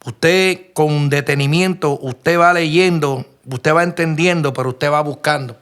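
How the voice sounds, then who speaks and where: Puerto Rican preacher, fairly high voice. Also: male voice, steady tone, a little slow, a bit bright, smooth. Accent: Puerto Rican Spanish. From Puerto Rico. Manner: earnest storytelling, frequent restarts and rhetorical questions. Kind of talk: sermon.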